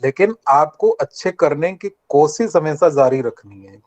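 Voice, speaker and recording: A man's voice: a little high, expressive, a little slow, a little mellow. Older man giving earnest advice over a dull online call. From Rajasthan, India. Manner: earnest, repeats points and asks students to answer back. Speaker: guest speaker at a college workshop. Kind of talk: motivational talk to students. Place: online video meeting.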